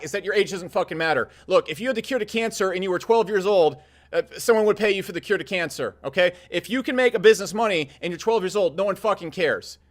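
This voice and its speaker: A man's voice: high-pitched, fairly bright tone. A man in his thirties giving blunt, profanity-laced business advice straight to camera. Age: 30s. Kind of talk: casual direct-to-camera advice talk.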